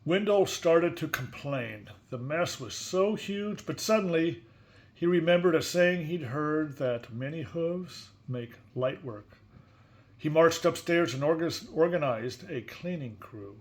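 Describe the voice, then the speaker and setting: A man's voice: a little high, expressive, slow. A man reading a picture book aloud expressively, with casual, chatty asides and questions to a young listener. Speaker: story reader.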